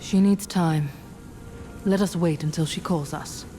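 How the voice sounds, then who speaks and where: A woman playing a solemn, earnest heroine in a fantasy game, speaking in a measured, serious way. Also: fairly low pitch, warm tone, natural voice. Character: solemn gauntlet-wielding heroine, earnest and steady. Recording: music behind.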